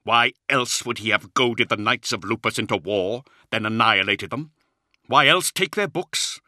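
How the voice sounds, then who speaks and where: An anguished knight commander, acted by a male narrator, bitter and near madness. The voice is forceful and swings widely in pitch, with weighty pauses, as in a dramatic fantasy audiobook. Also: animated, no echo, clean studio sound, full character voice. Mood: bitter accusation. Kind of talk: dramatic audiobook character acting.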